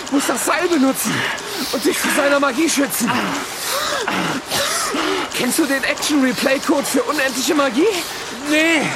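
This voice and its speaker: A woman playing a young swimmer in a radio play, talking like a conspiratorial guide from a video game. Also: high-pitched. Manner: conspiratorial, warning. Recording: loud ambient noise behind.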